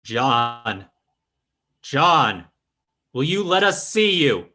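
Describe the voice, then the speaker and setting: A commanding seance medium, acted by a man in old radio drama style. The voice is bright and forceful, rising and falling theatrically, and the words come slowly and deliberately. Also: medium pitch, big pitch swings, heavily acted. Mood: solemn, summoning. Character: fake spiritualist medium leading a seance, solemn and commanding.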